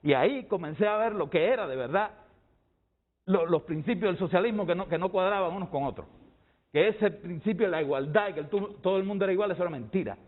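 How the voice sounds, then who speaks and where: High-pitched middle-aged Cuban man, emphatic. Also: fast talker, narrow, tinny audio. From Cuba, rural. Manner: emphatic, repeats phrases for emphasis. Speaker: debate guest, doctor. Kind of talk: heated panel debate, personal testimony.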